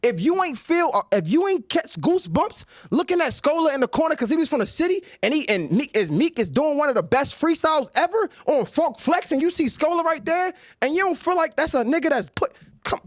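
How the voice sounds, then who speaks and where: A man's voice: extremely high pitch, very animated, a little fast, no room sound, studio quality. A man in his thirties from Baltimore, talking in a heated, emphatic way on a podcast and repeating words as he presses his points. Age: 30s. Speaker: podcast guest, journalist. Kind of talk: heated casual conversation.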